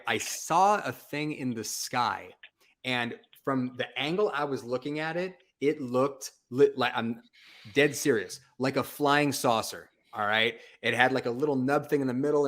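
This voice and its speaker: A man with a medium-pitched voice hosts a call-in show in a loose, conversational style. He talks in informal slang, leaning emphatically on his points like someone telling a story among friends. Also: fairly bright tone. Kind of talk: casual chat, storytelling.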